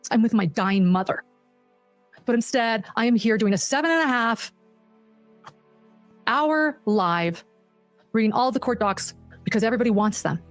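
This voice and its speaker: A woman's voice: a little high, expressive, moderate pace, long pauses, dry recording, soft background music. A woman reading documents aloud on a livestream with emphatic, aggrieved asides in her own defence. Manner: aggrieved, emphatic, self-justifying.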